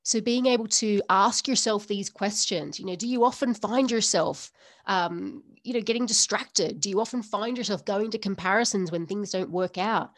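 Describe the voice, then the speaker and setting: A woman's voice: mid-range voice, normal speed, dry recording, clean studio sound. A woman hosting a solo podcast, talking earnestly to listeners in a string of repeated rhetorical questions. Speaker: podcast host. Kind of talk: solo podcast coaching talk.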